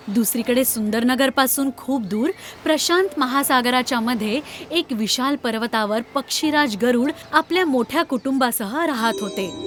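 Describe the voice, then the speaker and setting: A woman reading scripted narration for an animated children's fairy tale. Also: fairly high pitch. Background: background music.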